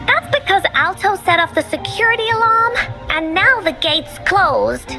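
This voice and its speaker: A woman's voice: very high-pitched, animated, unhurried, music in the background, heavily acted. A woman voicing a perky, cheeky little girl adventurer in an anime game, childlike and teasing. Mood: whiny. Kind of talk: anime game character acting.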